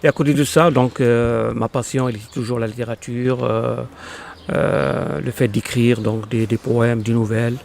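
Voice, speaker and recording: A man in his fifties from Morocco, whose first language is Arabic, speaking slowly in an interview with many pauses and fillers. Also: mid-range voice, level tone, vocal fry, darkish tone, slight background noise. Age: 50s. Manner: halting, many fillers and restarts. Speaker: interviewed poet. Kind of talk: hesitant conversational interview. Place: local podcast studio.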